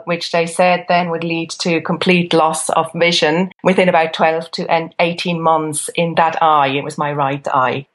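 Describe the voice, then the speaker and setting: A middle-aged woman from Switzerland, an interview guest who works as a nutritional therapist, telling her personal story. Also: fairly low pitch.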